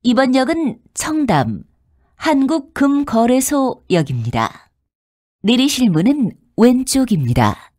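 A woman reading scripted subway announcements in a formal, polite, clearly enunciated way. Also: sits high, big pitch swings, slow pace, a little mellow, dry recording, studio quality. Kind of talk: scripted public transit announcement.

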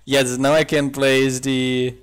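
A young man whose first language is German speaks with a slight German accent in a smooth, clear voice. He talks at an unhurried pace, rambling casually and laughing as he plays. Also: medium pitch, monotone. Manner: chatty, thinks aloud with restarts and laughs. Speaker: mod developer streaming gameplay. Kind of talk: casual live-stream chat while playing.

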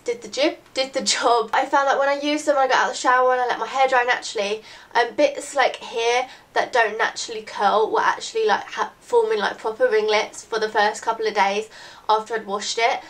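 A slightly high-voiced girl in her late teens, talking to her camera from her bedroom. She sounds bunged up from a recent cold. She rambles in a friendly way, full of "like" and "really, really". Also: steady tone, brisk. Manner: chatty, rambling, lots of "like" and "really, really". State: congested from a cold. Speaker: beauty vlogger. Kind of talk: casual chat to camera. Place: bedroom, indoors. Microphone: camera in front at desk distance.

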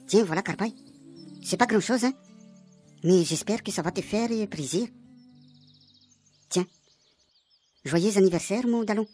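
A woman's voice: mid-range voice, music in the background, natural voice. A woman voicing Rakoto, a friendly man with a Réunion Creole accent who hesitates over his words in a radio comedy. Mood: sheepish. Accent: Réunion Creole French. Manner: quick, hesitant with fillers.

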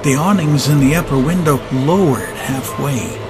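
A man's voice: fairly high pitch, moderate pace, lightly acted. A man narrating a steampunk adventure audio drama as a scripted, dramatic storyteller. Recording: music under the narration.

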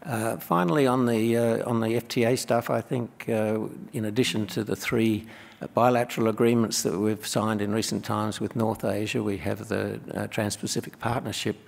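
An older man with a low, gravelly voice gives panel remarks from a conference stage, speaking in a measured, hesitant way full of pauses. His voice carries a long hall reverb from the table microphone. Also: flat delivery. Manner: measured, hesitant with many fillers. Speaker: panellist, economics professor.

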